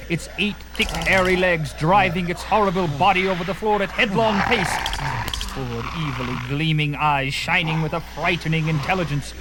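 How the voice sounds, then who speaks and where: A man's voice: high-pitched, background noise. A man narrating a fantasy adventure in a theatrical, suspenseful and emphatic style, with music behind him.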